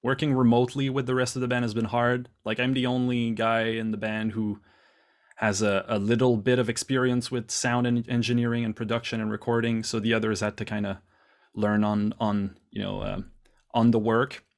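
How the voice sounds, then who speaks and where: A man from Canada, a musician guest talking casually in an interview over a video call, quick and full of restarts. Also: mid-range voice, flat delivery. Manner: quick, restarts often, self-deprecating laughs.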